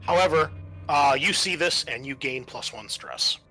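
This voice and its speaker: A man acting as game master, describing scenes in a conversational, improvised, halting way for a tabletop roleplaying game. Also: fairly high pitch, expressive, bright, forceful tone, soft background music, low-quality recording.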